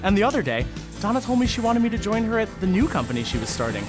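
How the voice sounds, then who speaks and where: A chatty young fashion apprentice. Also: male voice, sits high, very animated, quick pace, over music. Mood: hopeful. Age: young adult. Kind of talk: audio drama acting.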